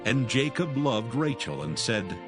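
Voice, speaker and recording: A man's voice: medium pitch, big pitch swings, measured pace. Solemn male scripture narrator over music.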